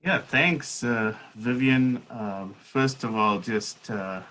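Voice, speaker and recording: A man in his fifties speaks very slowly as a guest in a conversation. He weighs each phrase, and his mid-pitched voice fills the pauses with soft fillers as he reflects on his own life. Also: poor audio. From South Africa. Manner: measured and unhurried, with pauses and fillers. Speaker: guest speaker, seminary academic. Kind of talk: reflective personal storytelling in conversation.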